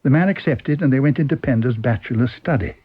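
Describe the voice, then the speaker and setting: A man's voice: medium pitch, fast, slightly rough, phone-quality sound. A man with a British accent reading a scripted story as a dramatic narrator. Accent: British English. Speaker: audiobook reader.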